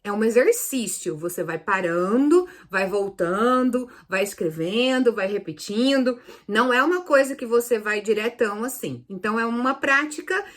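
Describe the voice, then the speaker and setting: Higher-pitched Brazilian woman teaching. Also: lively intonation, normal speed, hardly any pauses. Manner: didactic, repeats and rephrases key points. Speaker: Spanish teacher hosting a video lesson series. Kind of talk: casual, explanatory video lesson.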